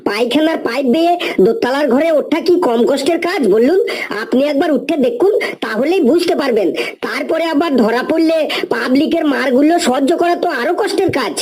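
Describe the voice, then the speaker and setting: A woman's voice: high-pitched, fairly quick, crowd noise, close to an everyday voice. A woman voicing a shameless, argumentative male burglar in a comic cartoon, talking in a glib and pleading way. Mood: glib, defiant.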